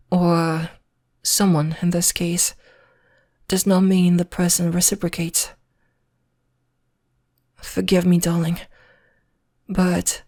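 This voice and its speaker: Slow, low-voiced British vampire lady acted by a woman. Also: a little mellow, close to an everyday voice. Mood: tender, smitten. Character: refined, possessive, courteous centuries-old female vampire, lovestruck. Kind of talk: ASMR roleplay, intimate scripted monologue.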